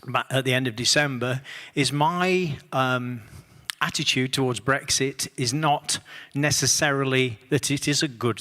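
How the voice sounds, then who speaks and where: A man's voice: medium pitch, sharp tone, big-room sound. A man presenting a conference workshop on music work across borders, speaking with dry humor and frequent fillers. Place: conference room, online session.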